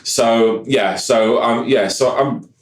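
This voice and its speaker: A man's voice: medium pitch, takes it slowly. Rambling man in an echoey room. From Yorkshire, England. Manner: rambling, keeps saying "like I said". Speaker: guest musician. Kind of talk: casual podcast chat.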